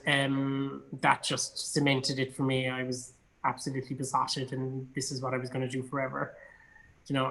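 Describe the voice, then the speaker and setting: A man's voice: a little high, fairly bright tone, rough recording. An Irish man from Cork chatting casually and enthusiastically as an interview guest. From Cork, Ireland. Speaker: actor and theatre company artistic director being interviewed. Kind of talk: casual chat.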